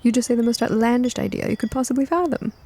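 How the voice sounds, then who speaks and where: Audio-story casting: spirited, quirky older lady, played by a female narrator, playful yet secretive, with some gravel. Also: fairly high pitch, quick pace, a little mellow, slight background noise, pitched above the performer's own voice, natural voice. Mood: excited, playful. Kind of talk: audio fiction character dialogue.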